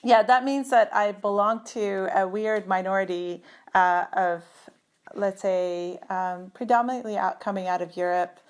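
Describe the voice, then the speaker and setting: A middle-aged woman from Turkey talks through her ideas as an interview guest over a video call. She is thoughtful, pauses on fillers and often restarts her sentences. Her voice sits at a middle pitch for a woman. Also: clear, resonant tone. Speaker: guest, computer scientist. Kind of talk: conversational interview.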